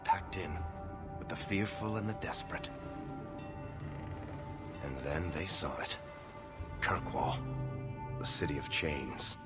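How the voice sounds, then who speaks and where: A low-voiced, wry storyteller. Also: male voice, lively intonation, loud music behind the voice, full character voice. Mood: grave, ominous. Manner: wry, dramatic, measured storytelling. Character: male storyteller under interrogation, wry, smooth raconteur. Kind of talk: dramatic video game narration.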